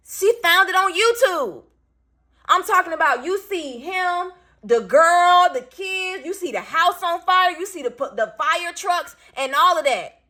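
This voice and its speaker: Raspy, blunt woman venting to camera. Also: high-pitched, pushed and bright. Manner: blunt, profane, emphatic. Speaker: single parent vlogger.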